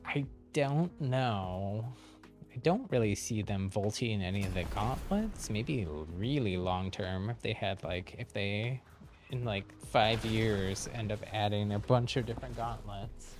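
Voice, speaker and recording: Low-pitched man chatting on a game stream. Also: a little slow, darkish tone, soft background music. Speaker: livestreamer, channel host. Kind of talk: casual game stream commentary and chat.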